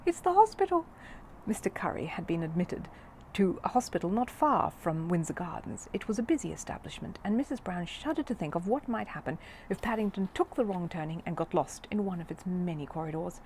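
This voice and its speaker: A woman reading a children's story aloud in an expressive narrating style. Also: fairly low pitch, brisk, slight background noise. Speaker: audiobook reader of a children's book.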